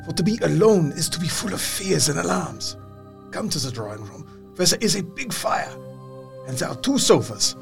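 An actor playing a compassionate, commanding older doctor with solemn, deliberate and slightly unidiomatic phrasing in an audio drama. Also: male voice, on the high side, very animated, creaky, soft background music. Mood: tender, coaxing.

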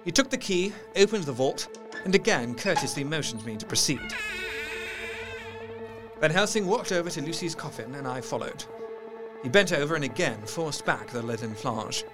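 A man plays a troubled gentleman doctor telling a gothic tale from his diary, with music behind him. His voice is fairly high and swings widely in pitch. He is sceptical, intense and formal in his diction. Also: lively intonation, moderate pace, natural voice, poor audio. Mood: uneasy, dismayed. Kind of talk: dramatised first-person diary narration. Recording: music under the voice.

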